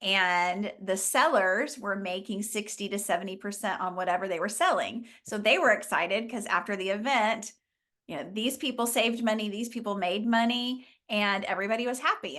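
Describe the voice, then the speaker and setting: A middle-aged woman from Oklahoma, a business founder chatting as a guest, telling her story with quick laughs. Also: medium pitch, a bit bright. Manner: chatty, laughs often, upbeat. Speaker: franchise founder, interview guest. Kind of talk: casual storytelling.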